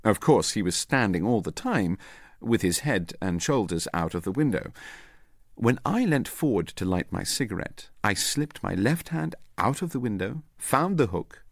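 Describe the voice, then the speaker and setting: A man reading as a self-assured British gentleman agent who recounts a clever trick in an urbane, methodical way. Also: fairly low pitch, big pitch swings, measured pace, gravelly, dry recording, clean studio sound, exaggerated voice. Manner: urbane, methodical, quietly pleased with himself.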